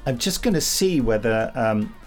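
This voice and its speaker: A man's voice: mid-range voice, animated, moderate pace. British man, podcast co-host, dryly humorous, conversational, music in the background. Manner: dry, wry humour. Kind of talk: casual chat.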